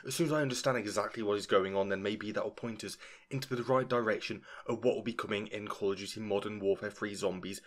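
A man's voice: lowish voice, quick pace. A man giving a casual gaming guide voice-over as a video creator, speaking directly to viewers.

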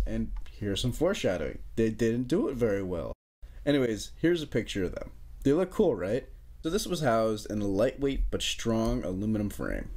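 A man's voice: mid-range voice, a little mellow. A young American man narrating an explainer in a casual, joking way, with informal wry asides. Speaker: narrator of an amateur history explainer video.

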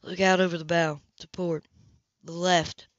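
A woman's voice: fairly low pitch, level tone, measured pace, dry recording, lightly acted. An easygoing sailor in a novel read by a woman, teasing and at ease as he explains things. The voice sits close and dry, with slightly dull sound. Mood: calm, explaining. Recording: dull, lo-fi audio.

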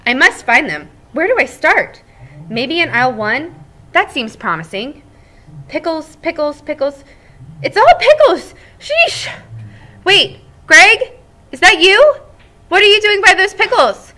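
Lady reading as a chatty egg dude from a picture book, high and bright, bouncy delivery. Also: a little slow, exaggerated voice. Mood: searching. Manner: bouncy, expressive storytelling. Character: chatty cheerful male egg, fond of quiet. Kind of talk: storybook read-aloud.

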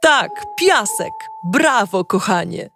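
A woman voicing a patient, gentle mother in a storybook audiobook, speaking softly and encouragingly. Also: medium pitch, slow, soft background music, pitched below the performer's own voice, exaggerated voice. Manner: soft, calm, encouraging.

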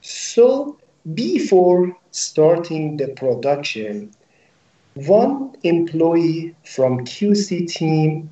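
Muffled, roomy video-call audio carries a man in his forties with a Persian accent. He is a native Persian speaker who explains things slowly and deliberately in halting English, as an executive being interviewed. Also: on the high side, warm tone, far from the mic. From Iran. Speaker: company managing director, interview guest. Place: indoor office room with hard ceiling. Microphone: video call, laptop at desk distance.

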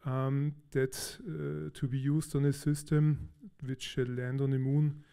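Man from austria, warm mellow voice, conference speaker, relaxed and hesitant, stage mic in a big hall. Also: medium pitch, slow, vocal fry, smooth. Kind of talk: technical conference talk.

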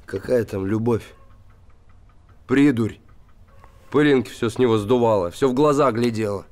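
An actor in his thirties playing a gruff, warm-hearted small-town handyman, lovesick and bitter, talking in blunt colloquial speech in a naturalistic TV drama. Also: mid-range voice, moderate pace, close to an everyday voice. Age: 30s. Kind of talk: naturalistic television drama acting. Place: small wooden house room.

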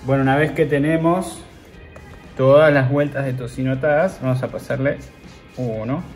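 A man in his thirties with an Argentine accent, hosting a cooking show and calmly talking viewers through each step as he works. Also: mid-range voice, moderate pace, faint music behind. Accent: Argentine Spanish. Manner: talks viewers through steps while working with his hands. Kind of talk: casual cooking demonstration. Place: outdoor grill patio.

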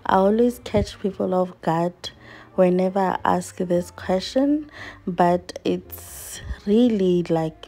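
A woman's voice: on the low side, noisy background. A woman from South Africa in her thirties, chatting earnestly to her viewers while washing up in her home kitchen. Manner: earnest, reflective, speaks while washing dishes. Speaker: vlogger. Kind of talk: casual chat to viewers while doing chores.

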